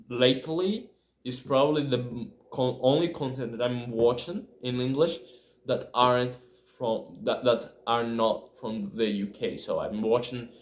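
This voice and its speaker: A man from Brazil with a Brazilian accent, a native Portuguese speaker, talking casually in English and often halting to correct himself. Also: mid-range voice. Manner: halting, self-correcting, searching for words. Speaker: video creator. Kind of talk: casual talk to camera about language learning. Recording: echoey room.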